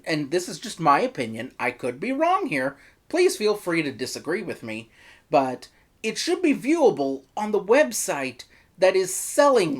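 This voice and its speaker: Reviewer with a very animated, swinging pitch. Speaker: reviewer, host. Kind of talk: scripted video review narration.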